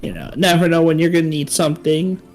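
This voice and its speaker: A woman's voice: low-pitched, fast talker, darkish tone, soft background music. A game master voicing a kindly old female dwarf herbalist who runs a shop, doting and rambling.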